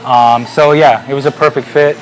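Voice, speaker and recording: A man, a team owner, presenting a sponsor segment in a relaxed way with many fillers. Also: mid-range voice, normal speed, faint music behind, poor audio.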